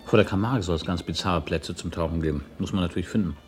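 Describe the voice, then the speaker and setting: A man acting a young holidaymaker in a radio play, with a low-pitched voice. He probes his friend in casual, wry, needling phrases, with a little music in the recording. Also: quick pace, darkish tone, close to an everyday voice. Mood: concerned, casual. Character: probing, slightly wounded male friend on holiday.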